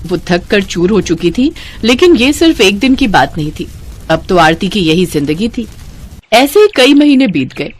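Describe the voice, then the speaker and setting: Expressive woman storyteller, animated pitch. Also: normal speed. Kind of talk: scripted, expressive story narration for a cartoon.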